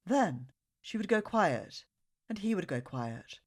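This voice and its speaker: A woman reading a children's story aloud with expressive, dramatic phrasing. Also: fairly low pitch, slow.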